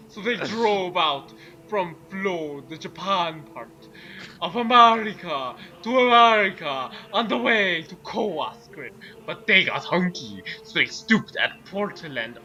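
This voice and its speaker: A man's voice: sits high, pushed and bright, dull audio. A man reading fan fiction dramatically and chatting casually between passages. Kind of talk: dramatic story reading mixed with casual banter.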